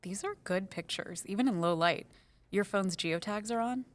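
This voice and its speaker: A woman playing a practical, helpful office colleague in an audio drama, talking in a brisk, supportive manner. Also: medium pitch, close to an everyday voice. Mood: curious. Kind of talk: naturalistic audio drama dialogue.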